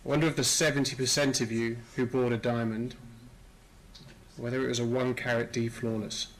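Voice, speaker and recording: A man's voice: mid-range voice, flat delivery, a little fast, poor audio. A man hosting a conference in an informal, hesitant and jokey way. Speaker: conference host introducing speakers.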